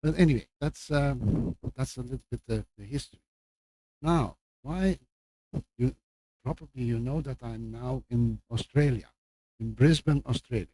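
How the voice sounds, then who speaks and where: Older male guest professor, Flemish Belgian accent, mid-pitched and very mellow, addressing an audience in an informal, halting lecture style. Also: rough recording. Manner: halting, with repeated words and asides.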